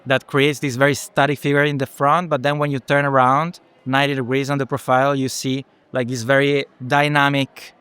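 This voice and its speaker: A man's voice: medium pitch, level tone, brisk, hardly any pauses, a bit bright, clean tone, dry recording. A man in his twenties from Rome with an Italian accent, explaining his work as a design student. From Rome, Italy. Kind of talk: explaining own work in an interview.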